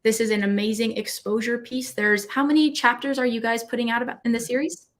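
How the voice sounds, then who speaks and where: A woman's voice: fairly high pitch, steady tone, a little fast. A woman hosting a live online talk, speaking fluently in an earnest and emphatic way.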